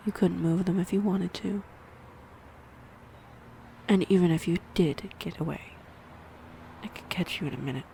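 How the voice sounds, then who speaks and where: A woman playing a snide, predatory vampire in an audio roleplay, mocking and teasing, with a breathy voice that slips into whispers. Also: lowish voice, dark tone, slight background noise, low-quality recording. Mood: menacing, taunting. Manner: mocking, teasing, theatrically menacing. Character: snide, predatory female vampire toying with prey. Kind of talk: audio roleplay acting addressed to the listener.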